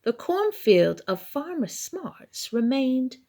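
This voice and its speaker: A woman reads a children's bedtime story aloud in a dramatic, expressive and deliberate manner. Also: sits high. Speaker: storybook reader.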